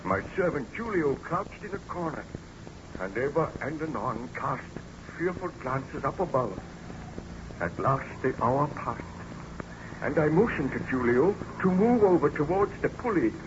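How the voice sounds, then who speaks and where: An actor playing an obsessed, weary baron and scientist in a theatrical, declamatory old radio drama style. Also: male voice, a little high, big pitch swings, measured pace. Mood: tense narrating. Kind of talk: 1930s-40s radio serial acting. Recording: muffled, noisy old radio recording.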